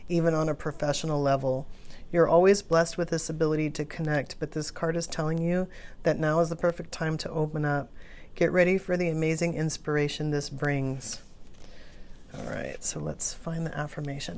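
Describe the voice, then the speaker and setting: A woman's voice: sits low, darkish tone. A woman hosting an oracle card reading in an informal, musing, conversational way. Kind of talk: casual rambling card reading, partly read aloud from a guidebook.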